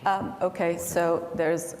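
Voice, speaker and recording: A woman moderating a conference panel, briskly calling on questioners. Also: on the low side, flat delivery, darkish tone. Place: large conference hall. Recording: reverberant hall, faint room noise.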